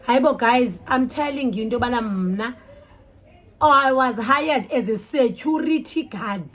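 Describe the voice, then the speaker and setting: A woman's voice: fairly high pitch, unhurried. A woman with a South African Xhosa accent telling a story casually and expressively, switching languages mid-sentence. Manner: animated, code-switches mid-sentence, exclaims and acts out dialogue. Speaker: storytime vlogger.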